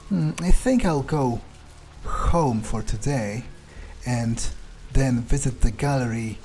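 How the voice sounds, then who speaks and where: A man with a medium-pitched voice thinks aloud in character as he plays a game. He is musing, hesitant and profane, and faint noise sits under the recording. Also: takes it slowly, a little mellow. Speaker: gamer roleplaying his character.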